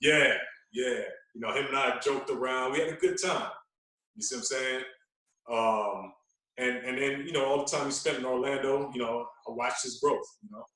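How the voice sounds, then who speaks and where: A man talking casually as a podcast guest, telling stories in an animated way and repeating phrases for emphasis. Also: medium pitch, moderate pace. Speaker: guest, veteran radio personality. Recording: echoey room, distant from mic.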